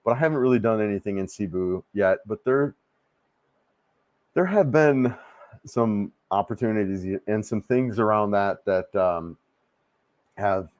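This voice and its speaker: Male host, 50s, lowish voice, casual rambling livestream chat, dry room. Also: a little mellow, no echo. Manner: rambling, restarts often, laid-back and friendly. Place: home room.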